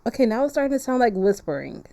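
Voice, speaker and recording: A woman's voice: a little high, big pitch swings, fast, creaky, warm tone. A woman streaming a game, chatting casually and playfully as she reacts aloud. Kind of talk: casual live-stream chat while playing a visual novel.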